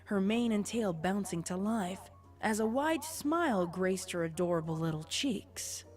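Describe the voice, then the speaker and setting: A woman's voice: medium pitch, expressive, a little slow, darkish tone, faint music behind. A woman reading scripted fan fiction narration as the storyteller.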